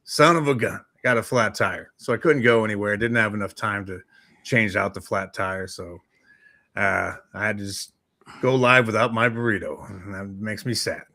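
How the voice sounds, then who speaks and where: Bright, deep-voiced male co-host. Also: moderate pace, no room sound, clean studio sound. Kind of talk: casual chat show banter.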